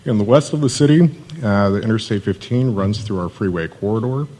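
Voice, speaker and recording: A man from Southern California with a Californian American accent, giving a matter-of-fact, personable live presentation as a city development manager in a monotone voice. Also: lowish voice, a little fast, low-quality recording.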